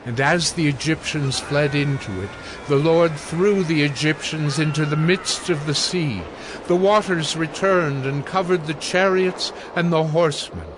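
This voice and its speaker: A man narrating scripture from a script in a solemn, measured manner. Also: medium pitch, a little hiss or hum.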